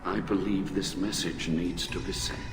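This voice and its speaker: A man playing a grave, principled and proud alien admiral in a video game, speaking in formal, measured oratory. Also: low voice, fairly even intonation, close to an everyday voice. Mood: grave, earnest. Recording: long hall reverb, loud music behind. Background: loud game music.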